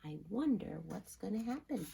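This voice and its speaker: A woman's voice: medium pitch, big pitch swings, moderate pace, gravelly, very noisy. A woman reading a children's story aloud, expressively, sometimes turning to address her listeners.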